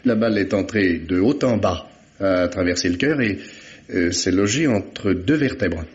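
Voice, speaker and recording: A man's voice: low-pitched, unhurried. A man playing a grumbling, sardonic forensic expert in a vintage radio mystery, full of weary sarcasm. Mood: wry. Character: sardonic, grumbling male forensic expert, detective's old colleague. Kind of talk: 1950s French radio mystery acting. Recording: dull, band-limited old radio drama recording.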